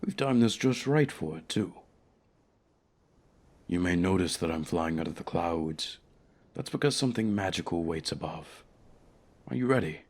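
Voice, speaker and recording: A man voicing an ancient, lonely, haughty male dragon in a fantasy audio roleplay, speaking in a formal, measured, courteous way. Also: low-pitched, animated. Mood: proud, pleased.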